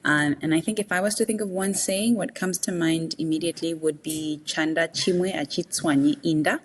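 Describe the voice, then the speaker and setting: A woman's voice: sits low, steady tone, normal speed, slight background noise. A woman from Zimbabwe, a native Shona speaker, answering interview questions in a thoughtful, explanatory way with frequent fillers. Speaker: guest, tax justice executive director.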